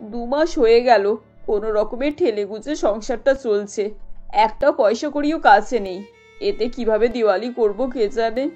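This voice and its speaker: A woman's voice: a little high, normal speed, a little mellow, close to an everyday voice. A woman voicing a worried, affectionate middle-aged mother-in-law in a cartoon story, on a dull recording. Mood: worried, rueful. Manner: fretful, affectionate. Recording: dull lo-fi sound, music behind.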